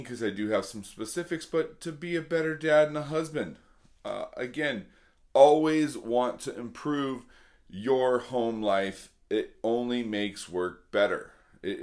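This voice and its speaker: A middle-aged man with a slightly hoarse voice, talking earnestly and casually to his viewers as a vlog host, repeating points for emphasis. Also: mid-range voice. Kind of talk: casual motivational talk to camera.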